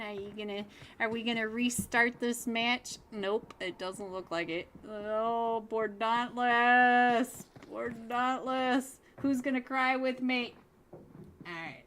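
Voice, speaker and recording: A woman calls a mobile battle royale match on her own live stream, chatting casually and laughing often between calls. Her voice sits a little high and she takes her time. A faint hum of room ambience sits under the home mic. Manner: chatty, giggly, gets excited at big plays. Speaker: esports caster. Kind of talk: solo live game commentary.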